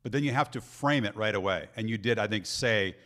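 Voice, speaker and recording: A man who calls American football, hosting an interview and asking questions in a measured, thoughtful way. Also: lowish voice, fairly bright tone. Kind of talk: interview conversation.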